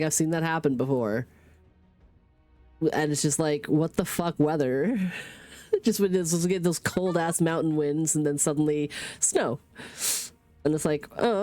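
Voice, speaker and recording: A woman's voice: sits low, darkish tone, no echo. A woman in her thirties streaming from home with a stuffy sinus cold, rambling casually, swearing and laughing.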